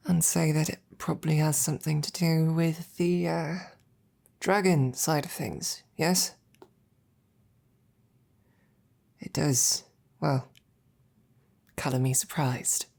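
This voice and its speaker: A woman playing a devoted, self-doubting female royal knight in an intimate roleplay audio, speaking in a hesitant, informal, tender way with a low, gravelly voice. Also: slow pace, long pauses, warm tone, full character voice. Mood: teasing, affectionate.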